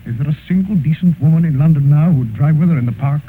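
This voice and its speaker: An actor playing an earnest, moralizing gentleman painter, grave and pleading, in old radio drama style. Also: fairly high pitch, fast, narrow, tinny audio, natural voice. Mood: stern reproach. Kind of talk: 1950s radio drama acting. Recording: scratchy old radio recording, thin band, hiss.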